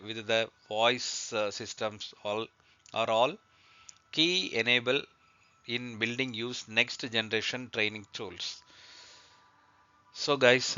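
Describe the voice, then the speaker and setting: A man who is a non-native English speaker presents a slide lesson, partly reading, in a halting, hesitant way with repeated words. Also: mid-range voice, bright, forceful tone, clean tone, dry recording, muffled recording. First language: not English. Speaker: presenter of an aviation training lesson.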